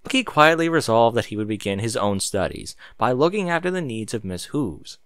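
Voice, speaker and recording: Expressive, bright-voiced man narrating. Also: mid-range voice, a little fast, clear, resonant tone, no room sound, clean studio sound. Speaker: narrator reading fan fiction with asides. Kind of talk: dramatic storybook narration with casual sarcastic asides.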